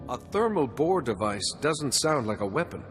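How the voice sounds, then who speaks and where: An actor playing a calm, principled, earnest warrior monk in a video game, speaking in a measured, formal way. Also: male voice, medium pitch, in a large hall, faint music behind. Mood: serene. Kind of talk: video game cutscene acting.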